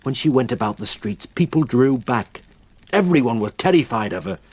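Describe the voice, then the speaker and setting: An actor playing a grave countryman in a radio drama, telling of a haunting slowly and deliberately. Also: medium pitch, animated, darkish tone, husky, dry recording. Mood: grave, haunted. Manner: slow, deliberate storytelling with weighty pauses.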